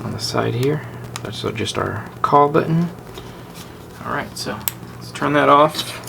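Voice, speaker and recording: A man's voice: mid-range voice, lively intonation, a little slow, vocal fry, bright, forceful tone. A man hosting a gadget review, chatting casually and informally as he demonstrates things. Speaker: product review host. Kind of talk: casual hands-on demo chat. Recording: very noisy amateur camera audio.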